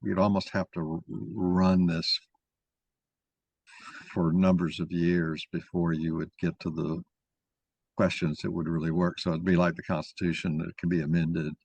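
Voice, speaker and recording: A man with a very deep voice leads an informal discussion. He rambles and halts as he thinks aloud. The sound is very dry, with no room around it. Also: level tone, normal speed, creaky, darkish tone, slightly rough, no room sound. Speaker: moderator of an online mock trial.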